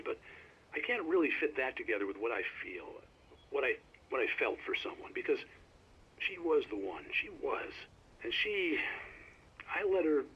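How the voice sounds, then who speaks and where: An actor playing a folksy older small-town radio host in a video game, rambling and halting, heard through a radio. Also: male voice, mid-range voice, husky. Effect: radio filter. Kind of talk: conversational radio show acting. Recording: dull, noisy radio broadcast.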